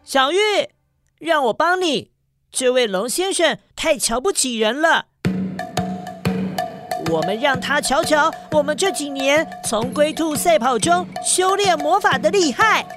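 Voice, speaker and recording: A man's voice: extremely high pitch, animated, measured pace, music in the background. A man voicing a small, loyal turtle friend in a children's audio story, earnest and encouraging. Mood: determined.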